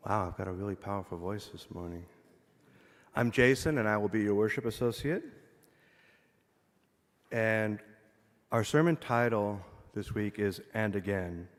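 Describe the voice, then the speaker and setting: A man serving as worship associate, welcoming a church congregation in an earnest, measured way. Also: lowish voice. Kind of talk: church welcome and opening reading. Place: church sanctuary. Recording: long church reverb.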